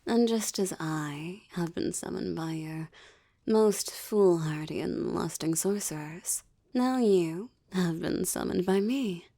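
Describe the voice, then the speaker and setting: A woman playing a seductive, gloating succubus demoness in an intimate audio drama, teasing and haughty with theatrical delivery and breathy whispers. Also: on the low side, slow, warm tone, heavily acted. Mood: smug, theatrical.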